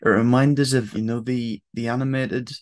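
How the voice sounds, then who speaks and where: A man's voice: medium pitch, little pitch movement, moderate pace. A British man in his twenties, chatting casually as an interviewer. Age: 20s. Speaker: interviewer, host. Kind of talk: casual chat. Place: bedroom, indoors on a video call.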